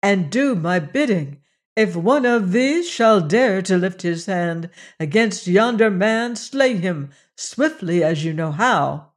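A man's voice: high-pitched, slow. A man reading a solemn priestess proclaiming. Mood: urgent. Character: Juana, solemn young woman posing as goddess.

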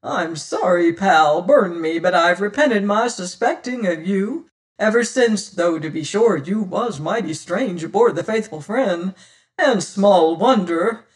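A simple-hearted, repentant sailor from a sea tale, put on by a male reader. He pleads effusively in nautical dialect with a high, slow delivery, slightly away from the mic. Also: far from the mic. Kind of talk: dramatised audiobook reading.

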